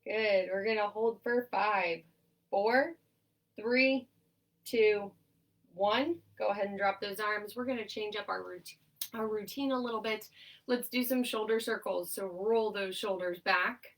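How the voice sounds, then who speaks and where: A young woman occupational therapist leading a seated exercise class, her voice nasal and stuffed up, giving upbeat cues and steady countdowns. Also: medium pitch, unhurried, recorded from a distance, poor audio. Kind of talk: instructional exercise cueing with countdowns.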